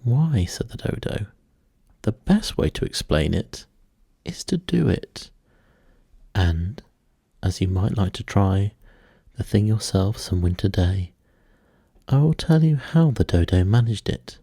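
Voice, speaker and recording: A man narrates a bedtime storybook at a very unhurried pace. His voice is dark and mellow with a husky edge, and he keeps a hushed, measured, soothing delivery made for sleep. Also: sits low, big pitch swings, dry recording, clean studio sound.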